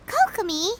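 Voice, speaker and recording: A woman voicing a tiny, chirpy fairy companion in a video game, with a squeaky childlike voice and an inquisitive, cartoonish manner. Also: heavy background noise, full character voice. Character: tiny chirpy female fairy companion, talks in third person.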